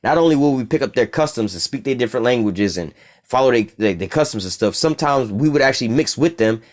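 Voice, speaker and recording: A man's voice: mid-range voice, expressive, fast talker. A man from the United States with an American accent, giving an informal, profane bible teaching and keeps asking "all right?".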